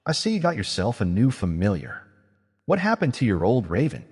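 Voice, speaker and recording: A man's voice: lowish voice, wildly swooping pitch, fairly quick, a little mellow, slightly rough, in a large hall, heavily acted. A man voicing a guarded, blunt young male mage who speaks dryly and confrontationally. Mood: probing.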